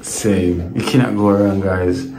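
A man's voice: sits low, takes it slowly, a crowd in the background. A man giving a casual walkthrough of a guest villa, talking straight to his viewers. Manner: relaxed, repeats himself, speaks to viewers as guys. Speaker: vlogger touring a guest villa.

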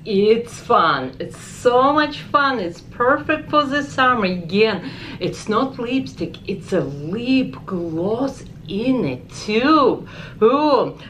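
A woman's voice: fairly high pitch, a little hiss or hum. A woman in her forties from Ukraine with a heavy Ukrainian accent, chatting enthusiastically through a makeup review and swatching products in a store. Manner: chatty, enthusiastic, exclaims often. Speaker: beauty vlogger. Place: department store makeup counter, indoors. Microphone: camera close up.

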